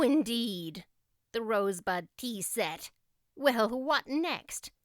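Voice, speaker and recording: Audiobook role: woman as no-nonsense older female guardian, clear, dry, scolding delivery. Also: mid-range voice, a bit bright, no echo. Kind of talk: audiobook character voice.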